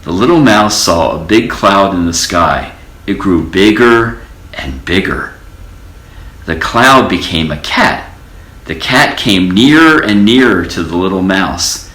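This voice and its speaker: A man reading a children's storybook aloud as an expressive storyteller, in an echoey room. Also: sits low, unhurried. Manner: expressive storytelling with character voices. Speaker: storybook reader.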